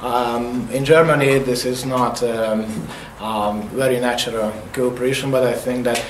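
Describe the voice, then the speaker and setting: A man's voice: medium pitch, fairly even intonation. Hungarian guy speaking english at a workshop, lots of uhs, echoey room, faint hum behind. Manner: hesitant, many filler pauses. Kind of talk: opening remarks at a workshop.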